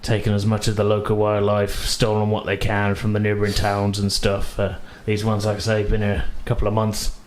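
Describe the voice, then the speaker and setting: Man playing a low-voiced rustic village hunter. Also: monotone, brisk, hardly any pauses, a little hiss or hum, lightly acted. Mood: grumbling, wry. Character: rustic, plain-spoken local hunter in a fantasy village.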